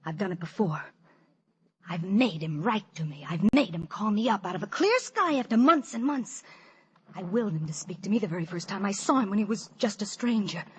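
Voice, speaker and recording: An actress playing an obsessive, lovesick young woman in a 1940s radio suspense drama, fervent, breathy and pleading. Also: mid-range voice, animated, brisk, slight background noise, natural voice. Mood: intense, brooding. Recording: old radio broadcast with music behind.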